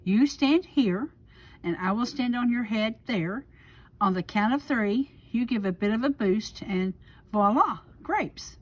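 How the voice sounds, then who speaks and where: A woman plays a scheming, smooth-talking fox from a storybook. He is smug and pompous, talking down to others in slow, theatrical phrases pitched on the high side. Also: expressive. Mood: scheming, coaxing.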